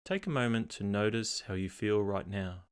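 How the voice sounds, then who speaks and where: A man reading a scripted guided meditation in a calm, unhurried, measured way, pausing between phrases. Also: fairly low pitch, clear, resonant tone.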